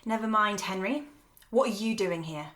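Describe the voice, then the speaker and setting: A young woman playing a jaded, sharp-tongued woman in an audio drama, blunt and sardonic in manner. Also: mid-range voice, normal speed, lightly acted. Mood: annoyed. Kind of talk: naturalistic audio drama acting.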